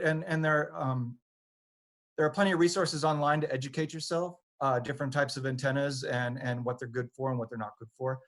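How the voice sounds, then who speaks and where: A man with a mid-pitched voice speaks as a technical expert in a panel discussion. He explains in a halting, piecemeal way, as if thinking it through aloud. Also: a bit bright. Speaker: audio technician on a training panel.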